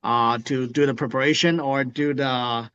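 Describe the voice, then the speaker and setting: A man from Taiwan with a Taiwanese accent, speaking haltingly with many fillers on a video call. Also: medium pitch, steady tone, a bit bright, clear, resonant tone, lo-fi sound. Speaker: webinar attendee.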